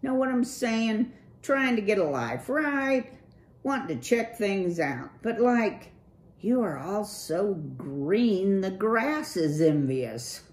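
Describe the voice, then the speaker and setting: A woman's voice: mid-range voice, big pitch swings, takes it slowly, darkish tone, voice pushed up. A woman voicing a cool, slang-talking young male city mouse with a laid-back, breezy drawl. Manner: laid-back surfer slang, keeps saying "dude".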